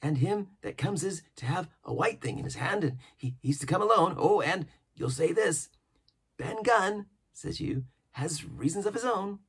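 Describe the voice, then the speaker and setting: A man voicing a sly, excitable, half-wild marooned pirate in a storybook, with emphatic, repetitive sailor's speech and a husky voice. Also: high-pitched, normal speed, pitched above the performer's own voice, exaggerated voice. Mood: conspiratorial.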